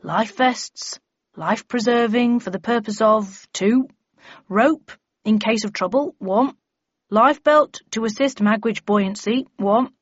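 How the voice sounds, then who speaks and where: A woman's voice: a little high, slow pace. A woman voicing a polite, fussy middle-aged male storekeeper clerk with formal, itemising speech in an audiobook. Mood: businesslike. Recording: muffled audio, roomy echo.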